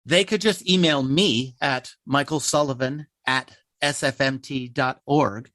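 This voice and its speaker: A man's voice: medium pitch, a little slow, fairly bright tone, no echo, studio quality. An American man, a theater playwright, answering interview questions in an earnest, emphatic, talkative conversational way.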